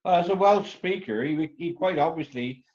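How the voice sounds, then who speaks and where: Guy chatting on a video call, bit high, muffled sound, halting. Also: darkish tone. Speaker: lecture participant. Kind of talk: casual discussion.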